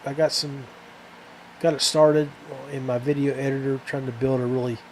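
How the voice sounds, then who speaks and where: A man hosting a fishing podcast in a casual, rambling, halting way that often trails off. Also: medium pitch, warm tone, a little hiss or hum, poor audio.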